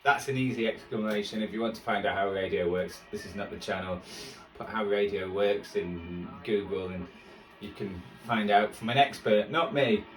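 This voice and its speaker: A low-pitched man talks casually and a little self-deprecatingly as he tunes a radio for a hobby video. He rambles along in a roomy kitchen, some way from the microphone, with music clearly audible behind him. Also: moderate pace, fairly bright tone, far from the mic. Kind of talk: casual chatty commentary while tuning a radio. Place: indoors in a kitchen. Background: music playing from a shortwave radio.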